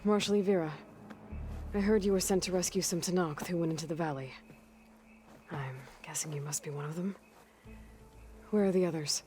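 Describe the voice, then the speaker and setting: Actress as capable young game champion, matter-of-fact, lowish female voice, faint music behind. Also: moderate pace, long pauses, mellow, close to an everyday voice. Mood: curious, matter-of-fact. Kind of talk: video game cutscene acting.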